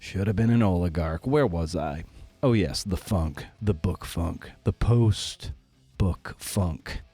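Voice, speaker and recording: Low-voiced male podcast host, dry close sound. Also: slow, warm tone, husky, no echo. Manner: wry, self-deprecating, rambling asides. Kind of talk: casual podcast interview and wry monologue.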